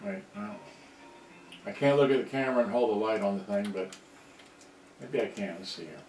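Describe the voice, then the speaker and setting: Low-voiced man, distant in an echoey room. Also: lively intonation, darkish tone, soft background music. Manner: halting, rambling, thinking aloud. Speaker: hobbyist electronics repairer on a livestream. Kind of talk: unscripted casual live demonstration. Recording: distant mic, echoey room, background noise.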